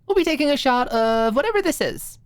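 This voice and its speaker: Figure: bubbly, high-pitched young woman, acted by a woman. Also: animated, brisk, dry recording. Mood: playful, excited. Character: Maud, playful excitable young woman hosting a sleepover.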